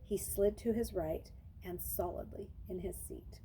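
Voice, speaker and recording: A woman's voice: mid-range voice, fairly even intonation, unhurried, mellow, slight background noise, rough recording. A woman reading a children's novel aloud, narrating expressively and dramatizing the story.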